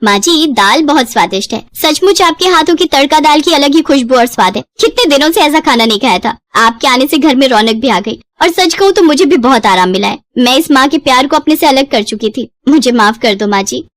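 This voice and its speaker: A woman voicing a polite young married daughter-in-law with a soft manner in a moral story. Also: high-pitched, animated, a little fast, hardly any pauses, dry recording. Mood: grateful.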